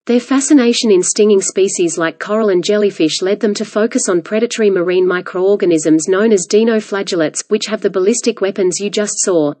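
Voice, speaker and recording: A woman reads a prepared study passage aloud. Her voice is mid-pitched and mellow, with a soft, dark tone. The delivery is neutral and measured, and the sound is dry, with no room around it. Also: dry recording. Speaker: read-aloud exam practice reader. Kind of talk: scripted read-aloud of exam passages.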